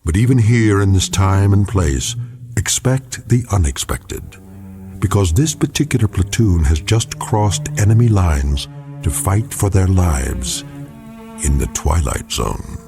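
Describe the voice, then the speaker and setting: Casting: a very deep-voiced American storyteller for an eerie radio drama, solemn and measured, over clear music. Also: male voice, lively intonation. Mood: grave, ominous. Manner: solemn, deliberate, portentous. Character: grave, omniscient male narrator of eerie war tales. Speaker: narrator. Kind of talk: scripted dramatic radio anthology narration.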